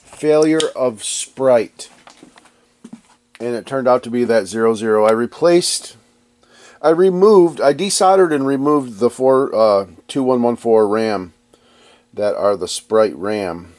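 A man's voice: mid-range voice, takes it slowly, a little mellow, no echo. A man hosting an arcade board repair vlog, talking casually in his electronics workshop while stuffed up with allergies, rambling and restarting his sentences. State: stuffed up, congested.

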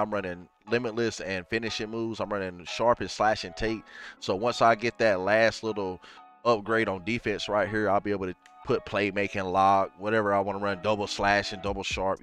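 Young male gaming streamer with a slightly low voice, stuffy from a cold; relaxed, chatty delivery to his viewers, faint music under him. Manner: laid-back, addresses viewers as y'all, fillers like man and bro. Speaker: gaming YouTuber. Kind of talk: casual game walkthrough. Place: home gaming setup, gaming chair.

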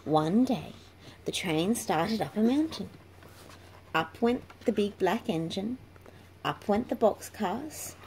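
A woman reads a children's story aloud at a very unhurried pace. Her pitch is medium, and there is clear noise behind her in the home recording. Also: lively intonation, a little mellow, rough recording. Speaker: children's book reader. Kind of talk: slow read-aloud storytelling.

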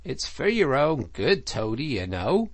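A man's voice: medium pitch, animated, unhurried, darkish tone, breathy edge, dry recording, pitched above the performer's own voice. A man voicing a sceptical but kindly rat from a storybook, with a knowing, coaxing manner. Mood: kindly, firm. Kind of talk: storybook character voice.

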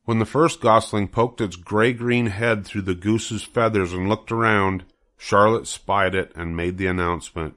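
A man's voice: fairly low pitch, fairly even intonation, measured pace, a bit bright. An older man narrating a children's book as a storybook reader.

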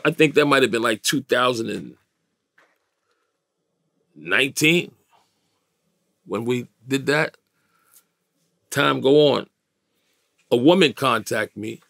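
A man in his fifties with a gravelly voice and a New York accent, telling a story in slangy, emphatic, streetwise interview talk. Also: medium pitch, a bit bright, no room sound, studio quality. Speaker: interview guest. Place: small indoor recording studio, dry sound.

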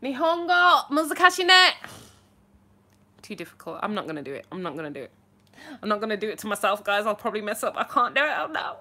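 A young woman chatting casually to viewers on a live stream from her bedroom, bubbly and animated, with her phone held at arm's length. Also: medium pitch, fast talker. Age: young adult. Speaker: livestream host answering viewer questions. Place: small bedroom indoors.

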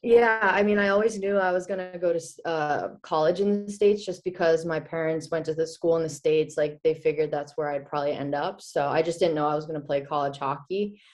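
A woman in her twenties from the Czech Republic, chatting as a guest in a video-call interview, animated and quick to laugh. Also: low-pitched. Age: 20s. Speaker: guest, former college hockey player. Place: small indoor room. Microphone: laptop webcam on video call.

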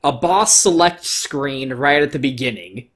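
A man's voice: medium pitch, a little slow, fairly bright tone. Opinionated, sweary guy doing game commentary. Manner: opinionated, emphatic, swears freely. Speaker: let's play host. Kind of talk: casual, profane gameplay commentary.

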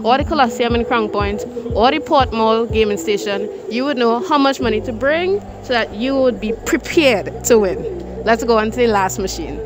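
A lively young woman hosts a vlog from an indoor mall arcade, talking fast and cheerfully into a handheld phone. Her voice sits a little high for a woman. Music plays clearly underneath. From Trinidad and Tobago. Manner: chatty, energetic, quick outros. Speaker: vlogger host. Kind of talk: upbeat vlog presenting. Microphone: phone held to mouth. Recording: background music throughout.

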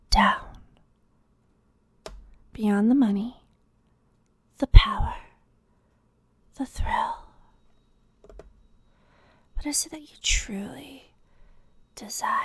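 A woman playing a teasing, obsessed female detective in a whispery, deliberate ASMR roleplay. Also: fairly high pitch, heavily acted. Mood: soft, intimate. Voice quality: breathy, whispery. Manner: slow, deliberate, teasing, pausing. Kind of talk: ASMR roleplay, scripted intimate monologue.

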